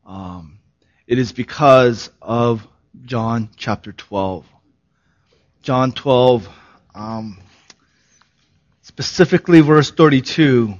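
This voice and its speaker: A man who is a pastor giving a sermon, hoarse in his voice, moving from a laughing, relaxed opening into earnest, solemn preaching. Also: medium pitch, takes it slowly, pauses often, lo-fi sound.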